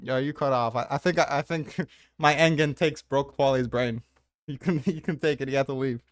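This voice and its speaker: A man's voice: mid-range voice, steady tone, moderate pace, no room sound, clean studio sound. A man in a casual chat, arguing his points with offhand swearing.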